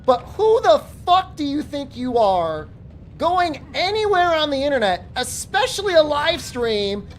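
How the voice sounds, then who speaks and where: A man in his forties talks to his stream chat as he plays. His pitch moves a lot, so he sounds lively. He is crude and jokey, slipping into mock-furious tirades at viewers. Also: measured pace, slight background noise. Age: 40s. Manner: profane, joking rants at chat. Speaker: game streamer. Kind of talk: live-stream chat while gaming.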